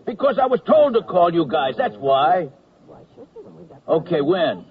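An actor playing a stubborn, officious army medical sergeant with gruff, slangy talk in a radio comedy drama. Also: male voice, on the high side, very animated, unhurried. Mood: exasperated. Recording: dull, band-limited radio recording.

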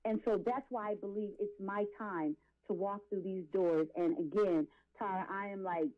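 A woman's voice: mid-range voice, steady tone, normal speed, highs cut off. A woman in her late forties giving testimony as an interview guest, speaking in an impassioned, preachy, emphatic way with repeated phrases. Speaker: guest, R&B and gospel singer.

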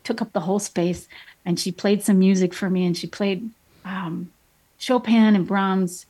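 A woman's voice: medium pitch, fairly even intonation, unhurried, dark tone, low-quality recording. A woman in her thirties, a painter being interviewed, telling stories in a chatty way with plenty of fillers and restarts. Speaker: painter guest. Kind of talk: casual interview chat.

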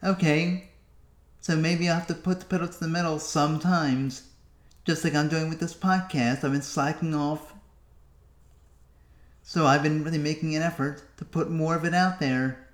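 A man hosting a solo podcast, wandering through his thoughts and pausing often. His voice sits a little high for a man, and it echoes off the walls of the room he is recording in. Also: steady tone. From United States. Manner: musing, self-talking, with long pauses. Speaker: podcast host. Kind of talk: solo reflective podcast monologue.

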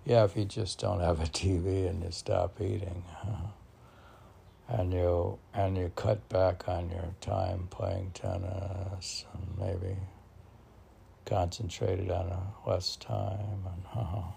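A man with a very low, husky voice muses through his own poems on a homemade podcast. He speaks haltingly and at a slow pace, wandering between reading and talking to himself, often clearing his throat. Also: warm tone. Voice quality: gravelly, husky. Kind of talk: informal poetry reading with rambling self-dialogue.

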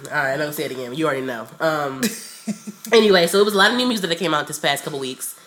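A young woman co-hosting a casual chat, swearing freely and talking in drawn-out, hesitant runs, a little nasal from a cold. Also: deep voice. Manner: profane, animated, drawn-out and hesitant. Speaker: podcast co-host.

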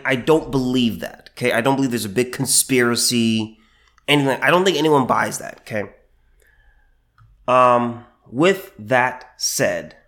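A mid-pitched man talks through a solo podcast, sounding a little under the weather from allergies. He stops and restarts often, repeating his phrases and filling gaps with ums and uhs. When he wants to drive a point home, he slows right down. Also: a bit bright. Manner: halting, repeats phrases, many fillers. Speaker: podcast host.

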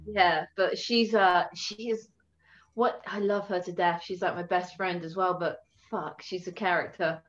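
A woman's voice: fairly low pitch, steady tone, unhurried, dull audio. A woman who works as a tattoo artist, chatting casually as a guest, telling stories, swearing and laughing. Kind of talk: casual chat.

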